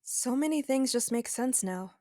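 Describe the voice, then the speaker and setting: An earnest young woman hacker played by an actress talks candidly in an audio drama. Her voice is fairly high and closely recorded, with a nervous, loyal temper. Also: animated, normal speed, dark tone, no room sound. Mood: wary, candid. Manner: candid, conversational.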